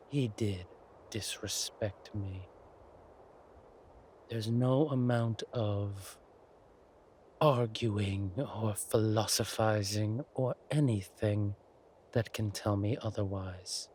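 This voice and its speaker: A man acts out a smug villain king in a romantic audio drama, menacing yet doting. His voice is on the low side and very unhurried, with theatrical, deliberate phrasing. Some ambient noise sits behind him. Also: darkish tone. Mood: cold menace. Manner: slow, deliberate, theatrical, breathy asides. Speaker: voice actor in romantic audio roleplay. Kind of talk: intimate scripted villain roleplay monologue.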